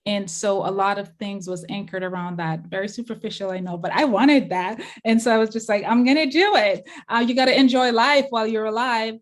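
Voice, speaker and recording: Chatty Jamaican woman guest. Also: mid-range voice. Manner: quick and chatty, many fillers. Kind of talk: casual interview chat.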